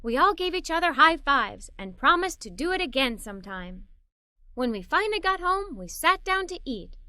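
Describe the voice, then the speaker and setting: Children's storybook lead: curious, adventurous little girl, played by a woman, very lively and bright, expressive and playful. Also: sits high, normal speed, no echo, studio quality, full character voice. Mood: cheerful, excited. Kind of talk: scripted children's story read-aloud.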